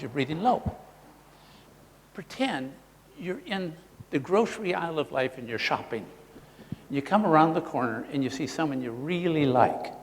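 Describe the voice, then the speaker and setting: A man's voice: a little high, long reverb tail, low-quality recording. A man presenting a live workshop, teaching the audience and acting out voice examples himself. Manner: animated, demonstrative, models voices for the audience.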